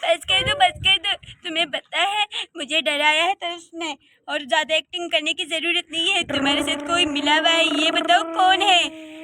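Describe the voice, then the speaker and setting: Woman as a shrill, bossy cartoon villager. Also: fairly even intonation, moderate pace, no echo, studio quality. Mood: accusing. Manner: indignant, sharp, dramatic. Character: bossy, quarrelsome cartoon villager, scolding and accusing. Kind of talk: Hindi animated cartoon story acting.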